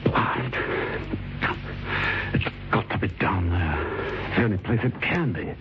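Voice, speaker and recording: An actor playing a rattled murderer muttering to himself in an old radio drama. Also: male voice, low voice, lively intonation, unhurried, noisy background. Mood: anxious muttering. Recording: dull old radio recording with music.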